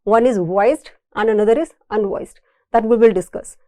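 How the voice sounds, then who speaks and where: A woman lecturer with an Indian accent and a fairly high, soft-edged, mellow voice. She explains technical material step by step, often restating a point in other words. The sound is dry and close, with very little room in it. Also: normal speed, no room sound. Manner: didactic, repeats and rephrases points. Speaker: engineering lecturer.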